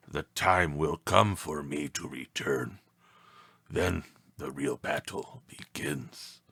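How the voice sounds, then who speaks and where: A man voicing an ancient, towering alien mentor in a storybook, speaking solemnly in slow, portentous, inverted phrases. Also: rumbling low, vocal fry, sharp tone, slightly rough, no room sound, exaggerated voice. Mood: grave, commanding. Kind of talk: storybook character voice.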